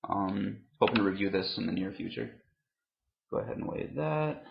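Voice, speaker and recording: A man in his thirties talks through a product review with a lowish voice full of fry. He hesitates and doubles back on words as he rambles unscripted. The sound is dull and clearly roomy, like a webcam at home. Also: expressive, noisy background. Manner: halting, hesitant, repeats words. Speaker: vlogger reviewing tobacco products. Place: room at home, indoors.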